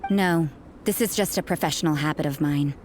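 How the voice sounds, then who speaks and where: A woman's voice: sits low, fairly quick, darkish tone, soft background music, lightly acted. A woman playing a cold, sardonic nun. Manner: dry, aloof and sarcastic. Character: cool, sardonic adult nun with a cynical edge. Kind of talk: video game cutscene dialogue.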